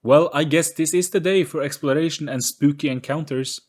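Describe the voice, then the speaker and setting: A man's voice: on the high side, moderate pace, darkish tone, pitched above the performer's own voice, close to an everyday voice. Man playing an assertive young boy leader. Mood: resolute.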